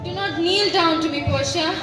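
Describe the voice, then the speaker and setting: A young woman acting a troubled, devoted Roman nobleman and husband in a school play, with measured formal delivery. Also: very high-pitched, fairly bright tone. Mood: solemn, reassuring. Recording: echoey stage from far off, music behind.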